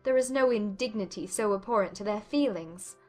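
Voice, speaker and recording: A woman's voice: medium pitch, fairly quick, a little mellow, faint music behind, close to an everyday voice. A woman voicing a proud, lovelorn young lady in a dramatized period novel, earnest and emotional. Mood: self-reproachful. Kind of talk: dramatized audiobook dialogue.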